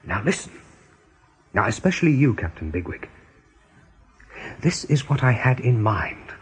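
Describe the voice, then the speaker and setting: An actor playing a determined rabbit chief in a radio play, earnest, commanding and persuasive. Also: male voice, medium pitch, sing-song, measured pace, slightly rough, exaggerated voice.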